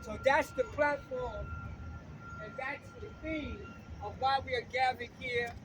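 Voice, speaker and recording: Older man, high voice, impassioned rally speech, repeats lines for emphasis. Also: a little slow. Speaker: rally speaker and campaign co-director. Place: outdoors on a city street. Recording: distant mic with loud street traffic.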